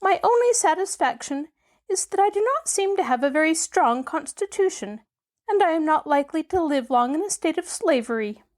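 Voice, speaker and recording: Storybook creature: squeaky, high, worried gump, a woman acting it out. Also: very animated, normal speed. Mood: plaintive. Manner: high and plaintive. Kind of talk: audiobook character voice.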